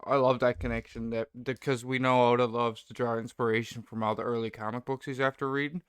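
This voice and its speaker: A man's voice: mid-range voice, level tone, brisk, smooth, no room sound, studio quality. A man speaking as a guest in a casual fan discussion, agreeable and appreciative.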